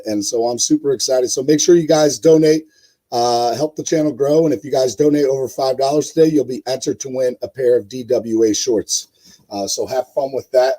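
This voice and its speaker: A man with a hoarse voice hosting a casual live-stream sports show, rambling with many fillers and talking to his chat viewers. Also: mid-range voice, warm tone, rough recording. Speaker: host.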